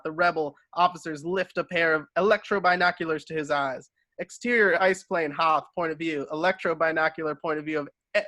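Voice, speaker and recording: A man's voice: a little high, bright, forceful tone, no room sound. A man reading stage directions as scripted narration, giving scene headings in clipped fragments.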